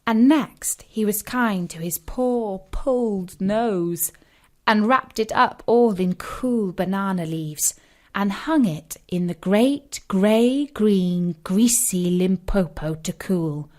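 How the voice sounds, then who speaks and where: Female storyteller for children's tales: unhurried delivery, mid pitch, expressive and emphatic. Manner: expressive, deliberate, emphatic repetition. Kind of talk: scripted children's story narration.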